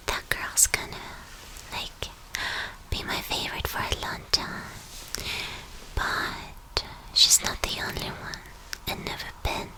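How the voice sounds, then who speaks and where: Woman acting, villain girlfriend, ASMR roleplay, breathy whisper, very slow delivery, smug, possessive, teasing, repetitive phrasing. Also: sharp tone, poor audio. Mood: gloating, possessive. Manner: teasing, condescending, repeats phrases slowly.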